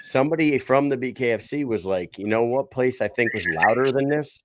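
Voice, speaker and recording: A man's voice: medium pitch, fairly quick, background noise. A man hosting a casual fight-talk show, chatty and rambling, often restarting his sentences. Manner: chatty, rambling, self-correcting. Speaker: host.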